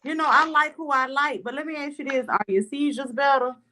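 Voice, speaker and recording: Higher-voiced, blunt American woman. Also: fairly quick, bright, forceful tone, slight background noise. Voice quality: occasional stutter. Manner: blunt, swearing. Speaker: participant in a group live stream. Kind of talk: casual chat.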